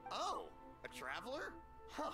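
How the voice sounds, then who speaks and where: An actor playing a friendly, generous middle-aged stall keeper in a video game, with a hearty, folksy, chatty manner. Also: male voice, very high voice, wildly swooping pitch, measured pace, full character voice. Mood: welcoming. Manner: hearty, folksy, chuckles between phrases. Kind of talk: video game dialogue acting. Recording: game music behind the voice.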